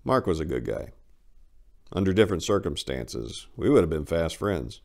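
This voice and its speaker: A man with a very deep voice hosts and narrates dramatic readings. His pitch moves widely as he goes, and his delivery is theatrical and emphatic. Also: sing-song, normal speed. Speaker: channel host, author reading stories. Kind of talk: scripted introduction and dramatic narration.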